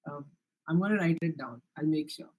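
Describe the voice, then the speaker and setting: Young Indian man demoing software. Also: fairly high pitch, fairly quick. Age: young adult. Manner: earnest, many restarts and "uh" fillers, explanatory. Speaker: student developer presenting his project. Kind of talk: live project demo with Q&A.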